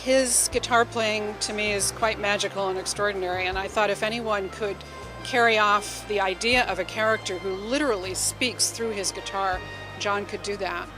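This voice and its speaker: An enthusiastic woman with a mid-pitched voice talks as a guest in an interview. She tells her stories effusively and conversationally, with music clearly playing behind her. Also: fairly even intonation, normal speed, rough recording. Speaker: novelist and radio drama writer, interview guest.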